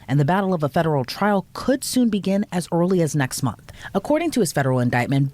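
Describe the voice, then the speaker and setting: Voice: mid-range voice, a little mellow. News explainer narrator, scripted, punchy and dramatic, voice goes up and down a lot.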